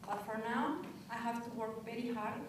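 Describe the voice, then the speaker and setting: A woman from Pakistan telling her immigration story in a steady, reflective way. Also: fairly high pitch, normal speed. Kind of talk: personal testimony. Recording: echoey room, distant mic, noisy.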